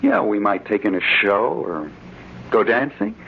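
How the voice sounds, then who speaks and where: An actor with an American accent playing a brooding, smooth-talking, scheming husband in a 1940s-style radio drama. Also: male voice, on the low side, wildly swooping pitch, unhurried, heavily acted. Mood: coaxing. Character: brooding, smooth-talking husband secretly plotting against his wife. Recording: muffled, noisy old radio broadcast recording.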